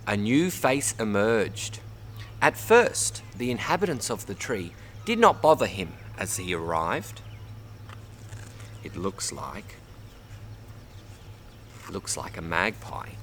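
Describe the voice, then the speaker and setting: A man's voice: on the low side, a little hiss or hum. Australian man reading a children's story expressively. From Bundaberg, Queensland, Australia. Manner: expressive, addresses young listeners with pauses for repeating. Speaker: storybook reader. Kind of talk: read-aloud children's story, narration with word teaching.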